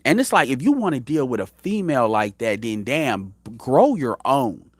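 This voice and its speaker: A man's voice: medium pitch, big pitch swings, moderate pace, no room sound. A man in his thirties giving blunt, profane opinion commentary as a show host, rambling with frequent restarts. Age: 30s.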